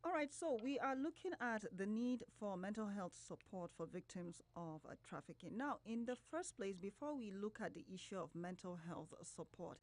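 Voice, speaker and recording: A woman's voice: medium pitch, animated, normal speed, few pauses, darkish tone. A woman with a Nigerian accent working as a radio host, conducting a live interview. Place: radio studio.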